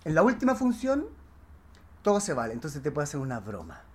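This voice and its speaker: A man with a Chilean accent chatting casually as a podcast guest, telling stories in a halting, slangy, animated way. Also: on the high side, a crowd in the background. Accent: Chilean Spanish. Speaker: podcast guest, stage actor. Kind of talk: casual anecdotal chat.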